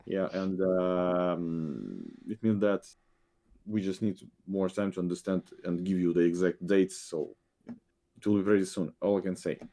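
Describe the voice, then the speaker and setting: A man, a game director speaking haltingly on a video call, with many fillers and restarts. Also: low-pitched, mellow. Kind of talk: podcast guest update, halting.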